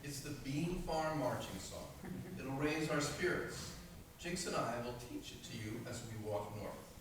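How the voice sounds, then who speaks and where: A man's voice: mid-range voice, expressive, measured pace, close to an everyday voice. A man playing a clever, kindly talking pig who takes charge in a radio play, earnest and encouraging. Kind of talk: stage radio-play acting. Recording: echoey hall, distant, noisy.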